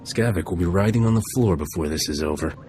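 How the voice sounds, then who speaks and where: Low-voiced cocky smuggler captain, acted by a man. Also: fast talker, a little mellow, faint music behind, natural voice. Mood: dry menace. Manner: brash, threatening, dry wisecracks. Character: cocky, tough smuggler captain, roguish and vengeful. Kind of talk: video game voice acting.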